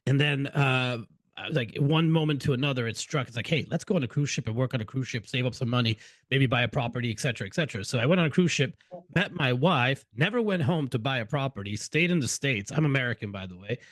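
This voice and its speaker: A middle-aged man who grew up in Hungary talks loosely as a podcast host and restarts his sentences often. His voice sits at an ordinary pitch for a man. The sound is close and dry, with little room around it. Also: quick pace, a bit bright, dry recording. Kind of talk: casual interview chat.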